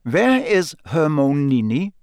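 Man acting a surly, gruff young foreigner. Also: fairly high pitch, sing-song, slow pace, a little mellow, dry recording, clean studio sound, full character voice. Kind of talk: audiobook character voice.

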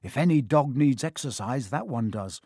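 A man's voice: mid-range voice, expressive, moderate pace, mellow, slightly rough, no room sound, clean studio sound. A man voicing an exasperated, complaining schoolboy in a storybook reading. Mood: indignant. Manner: blunt, complaining. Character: excitable, exasperated, gloomy schoolboy. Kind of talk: dramatised audiobook character voice.

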